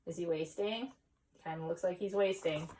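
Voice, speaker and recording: A woman reading a children's story aloud expressively, pausing for explanatory asides and questions to young listeners. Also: fairly low pitch, distant mic, noisy background. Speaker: story reader.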